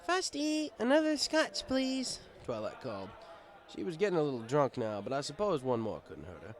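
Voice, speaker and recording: A man's voice: mid-range voice, sing-song, quick pace, a little mellow. A man reading a first-person story aloud in an easygoing, conversational manner, as a bartender telling his tale. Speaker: audiobook reader. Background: music.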